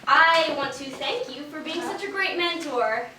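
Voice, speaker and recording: A woman's voice: high voice, measured pace, pushed and bright. A woman in a stage play, playing a friendly, candid young radio actress. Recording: echoey distant stage recording, faint noise.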